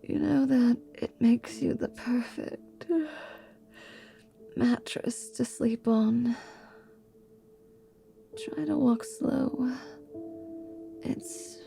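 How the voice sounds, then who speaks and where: A haughty, sharp-tongued half-vampire woman, played by a woman for a fantasy audio roleplay. Her voice is dark and smooth-edged with little creak, and she delivers sardonic threats at a very measured pace over faint music. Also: fairly high pitch, steady tone, full character voice. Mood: tired, sheepish. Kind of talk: fantasy ASMR roleplay acting.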